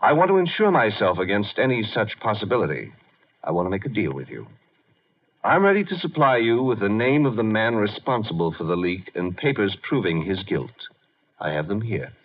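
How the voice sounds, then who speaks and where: An actor playing a pretentious, quietly menacing crime boss in a 1950s radio drama, measured and polite with veiled threat. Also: male voice, on the low side, sing-song. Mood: suavely menacing. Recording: dull vintage radio broadcast recording.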